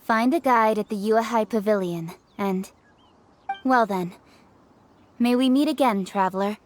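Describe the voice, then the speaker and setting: A woman's voice: mid-range voice, measured pace, a little hiss or hum, natural voice. A woman voicing a capable, businesslike official in a video game, formal and matter-of-fact. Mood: brisk, resigned. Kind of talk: video game character acting. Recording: faint game music underneath. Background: game music.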